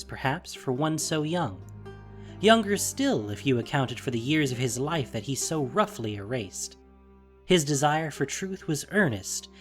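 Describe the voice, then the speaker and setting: Lively, dramatic fantasy narrator. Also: mid-range voice. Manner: expressive, deliberate storytelling. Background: faint music.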